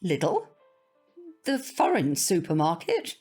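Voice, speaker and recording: A fussy older neighbour in an audio drama. Her voice is slightly rough and very expressive, rising and falling as she speaks. She is prim, proper and snobbish, yet keeps up her manners. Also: lowish voice, slow pace, faint music behind, heavily acted.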